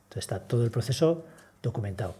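An older man giving a measured, explanatory seminar talk on conservation, pausing often with hesitations, seated at a table in a conference room. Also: mid-range voice, expressive, dark tone, husky.

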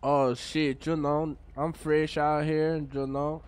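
A man's voice: fairly high pitch, little pitch movement, slow pace. A man streaming a roleplay game, chatting in a playful, slangy way with a lot of laughter, from an indoor desk mic. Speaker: streamer playing a roleplay character. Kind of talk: casual roleplay banter.

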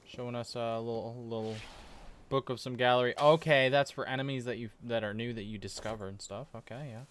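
A young man giving a casual, halting, slangy reaction commentary as a gaming YouTuber at a desk indoors. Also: mid-range voice, steady tone. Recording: clear background noise of game ambience.

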